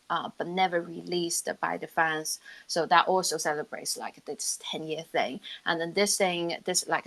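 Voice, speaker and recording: A woman with a slightly low voice talks casually on a live online panel. She is a musician and co-host who rambles a little, working out her thoughts as she speaks. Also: a bit bright, low-quality recording. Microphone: live audio chat room on a personal device.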